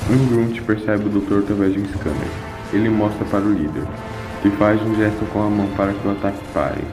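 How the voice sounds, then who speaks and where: A man's voice: lowish voice, a little fast. A man with a Brazilian accent reading a scripted dramatic story as narrator. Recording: echoing voice over background music.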